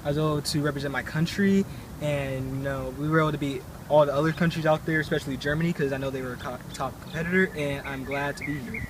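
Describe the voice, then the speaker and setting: Guy playing young male sports champ in an interview, talks quick, kinda modest and halting, noisy ambience. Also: fairly high pitch, fairly even intonation, lightly acted. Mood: modest, pleased. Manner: halting, informal, restarts phrases. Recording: noisy ambience with vehicle sounds.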